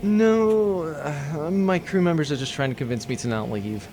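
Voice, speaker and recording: Man playing a wry, nerdy, higher-voiced crew accountant. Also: normal speed, a little mellow, a little hiss or hum, natural voice. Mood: sheepish, torn. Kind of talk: comic audio-drama acting.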